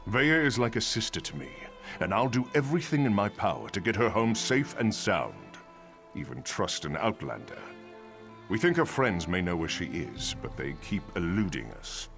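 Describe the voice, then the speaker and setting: Haughty dark elf officer for a game: low, very animated delivery, brusque and emphatic. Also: male voice, moderate pace, gravelly, music in the background. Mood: earnest, businesslike. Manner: curt, condescending, emphatic. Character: brusque male dark elf guard captain, dutiful, haughty. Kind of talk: video game dialogue acting.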